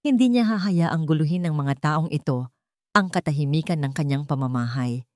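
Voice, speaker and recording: Low-pitched woman telling a dramatic story. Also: normal speed, a little mellow, no room sound, clean studio sound. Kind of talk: dramatic scripted romance narration.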